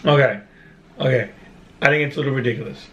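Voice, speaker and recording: A man with a Brooklyn accent chatting casually on a vlog, talking haltingly with frequent restarts. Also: mid-range voice, a bit bright, reverberant, far from the mic. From Brooklyn, New York. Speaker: vlogger. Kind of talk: casual chat. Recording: voice a bit off-mic, faint room noise.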